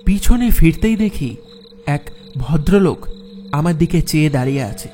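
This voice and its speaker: A man playing an anxious traveller who tells a horror story in the first person, dramatic and expressive. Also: a little high. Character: anxious male traveller recounting his night crossing.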